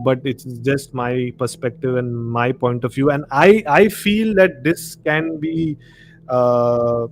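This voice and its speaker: Man, Indian accent, medium pitch, casual stream host, halting explanatory delivery, soft background music. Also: low-quality recording. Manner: halting, explanatory, often checking he is understood. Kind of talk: casual discussion of horror films and the supernatural.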